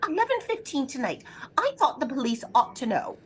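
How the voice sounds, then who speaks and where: An actress playing an anxious, imperious invalid wife in a throwback radio thriller, agitated, demanding and melodramatic. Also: female voice, high voice, big pitch swings, brisk, slight background noise. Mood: insistent, frantic. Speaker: lead actress in an audio drama.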